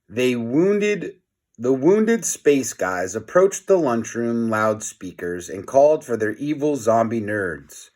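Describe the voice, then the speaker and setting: A man reads a children's story aloud at a slow pace, with a middling pitch. He moves between casual explanation and storytelling, like a teacher with a class. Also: sing-song, darkish tone.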